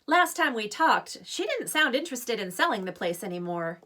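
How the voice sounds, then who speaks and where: Woman voicing a playful teasing dad, bright and animated. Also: a little high, moderate pace, exaggerated voice. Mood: easygoing. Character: playful, teasing dad, upbeat middle-aged man. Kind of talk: children's novel read-aloud.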